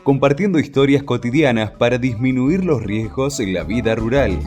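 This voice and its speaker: A man delivers a ceremonial radio introduction. His voice is medium in pitch, with guitar music clearly heard behind it. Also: moderate pace. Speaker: announcer of radio plays.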